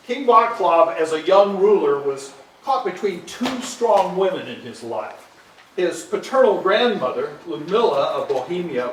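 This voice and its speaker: Male stage narrator: high voice, deliberate scripted storytelling, distant mic with faint noise. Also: echoey room.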